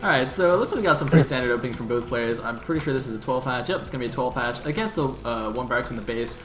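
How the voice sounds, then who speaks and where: A man's voice: mid-range voice, racing, faint music behind. Easygoing man casting in an echoey room. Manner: analytical, easygoing, joking. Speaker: esports commentator. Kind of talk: play-by-play commentary.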